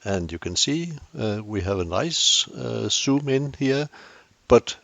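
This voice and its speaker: Older man, Danish accent, lively gravelly voice, dry close-miked sound. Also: mid-range voice, no echo. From Denmark. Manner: dry self-deprecating humor, deliberate explanations. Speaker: tutorial host. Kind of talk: camera tutorial demonstration.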